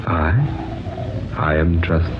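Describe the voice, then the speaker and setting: A mysterious, serene older sage with a deep voice. He speaks solemnly and slowly weighs each phrase like a patient father, in 1940s radio drama style. The old recording is dull, with music clearly behind him. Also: completely flat delivery. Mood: calm, cryptic. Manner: solemn, measured, cryptic, fatherly. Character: serene, wise older man, mysterious Christ-like dream sage.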